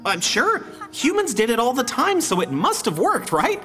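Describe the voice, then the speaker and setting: Comedy radio play: tender, gushing female robot, very high register, highly animated, earnest to a fault. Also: normal speed, soft background music. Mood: pleading, hopeful. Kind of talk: audio comedy drama acting.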